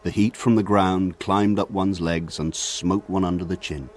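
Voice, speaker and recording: An actor playing a grown man narrating his country childhood in a radio drama, in a measured, lyrical, reflective manner. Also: low-pitched, fairly even intonation, close to an everyday voice. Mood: lyrical, wistful. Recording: faint insect buzz behind voice.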